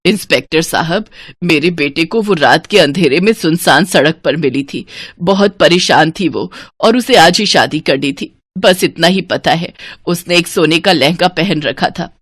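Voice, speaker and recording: A woman's voice: low voice, a little slow, a bit bright, slightly rough, no room sound, clean studio sound, full character voice. A woman voicing a grieving, suspicious mother who speaks in an earnest, sorrowful manner.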